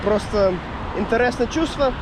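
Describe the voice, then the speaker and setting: A young man with an American accent and a high voice talks casually to his viewers in a non-native language. He rambles, hunts for words, and restarts often. The recording is outdoors, with clear street traffic audible behind him. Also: normal speed. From United States. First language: English. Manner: rambling, searching for words, many fillers and restarts. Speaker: vlogger. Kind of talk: casual chat to camera.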